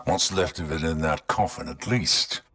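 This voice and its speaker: A gruff space soldier technician from a video game. His voice is deep and husky, with a hard forceful edge and a wry, sardonic delivery. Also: moderate pace, exaggerated voice. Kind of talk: scripted video game voice acting.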